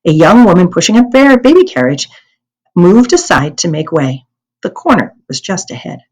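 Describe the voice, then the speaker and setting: A woman's voice: on the low side, animated, normal speed, darkish tone, poor audio. An American woman reading a storybook aloud as a teacher would, expressively and carefully, with small stumbles and corrections. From United States.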